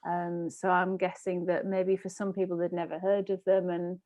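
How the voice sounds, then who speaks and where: A woman's voice: lowish voice, little pitch movement, normal speed, warm tone. Tentative woman in casual film chat. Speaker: film review podcast panellist. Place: home room. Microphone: wired earphones on video call.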